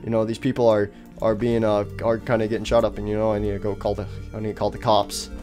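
A man voicing a calm, oblivious animatronic fox who stammers and rambles in an amateur comedy skit. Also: lowish voice, fast talker, soft background music, natural voice. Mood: hesitant, puzzled.

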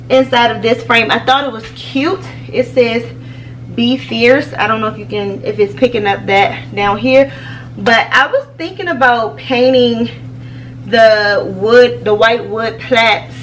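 A woman's voice: fairly high pitch, a bit bright. An American woman in her thirties talking informally and chattily to the camera as a home vlogger. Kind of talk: casual chat to camera. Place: small home office room, indoors. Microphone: camera a few feet away. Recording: distant camera mic with background noise.

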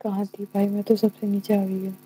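Dark-voiced young woman, teasing. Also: mid-range voice, completely flat delivery, fairly quick, breathy edge, rough recording. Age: young adult. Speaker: live stream co-host. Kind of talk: casual live chat. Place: indoors at home, bright room. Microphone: wired earphone mic on a phone.

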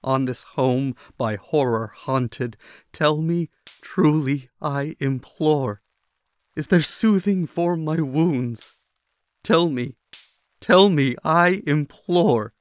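A man's voice: on the high side, no echo. A man playing a grieving, tormented man who declaims verse theatrically in an audio drama. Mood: bitter, accusing, then desperate pleading. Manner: theatrical, slow, anguished declaiming. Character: grieving, tormented man pleading with and cursing a raven.

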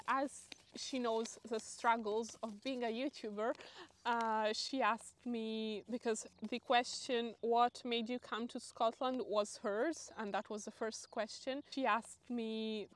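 Italian-accented woman chatting outdoors. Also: fairly high pitch. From Italy, Lake Como area. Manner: chatty, rambling with fillers and restarts. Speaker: vlogger. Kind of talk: casual Q&A chat to camera. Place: grassy hilltop outdoors, sunny. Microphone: clip-on lapel mic.

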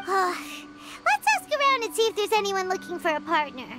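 A woman voicing a tiny, bossy fairy girl with a squeaky childlike voice in an anime video game. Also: big pitch swings, moderate pace, music in the background. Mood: impatient. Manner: exclamatory, speaks of herself in third person. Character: tiny excitable floating fairy girl companion, bossy and indignant.

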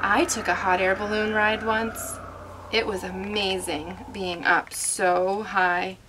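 A woman's voice: medium pitch, flat delivery, takes it slowly, background noise. A woman reading aloud to young children as host, instructing and prompting them with questions.

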